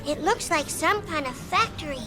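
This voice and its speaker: A woman's voice: extremely high pitch, lively intonation, normal speed, low-quality recording. A woman voicing an earnest, clever young girl in a video game, speaking primly. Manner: earnest, prim. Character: earnest clever eight-year-old girl. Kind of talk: video game cutscene acting. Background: game music.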